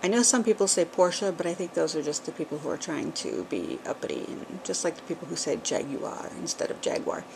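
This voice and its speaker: A middle-aged woman with a New England accent touched by Southern words chats into a home camcorder, her voice sitting a little low. She rambles easily, filling pauses with ums. A faint hiss runs under the recording. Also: big pitch swings, vocal fry, rough recording. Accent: New England, with some Southern. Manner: chatty, rambling, with frequent ums and small laughs. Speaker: vlogger. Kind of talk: casual chat. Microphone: camcorder built-in mic.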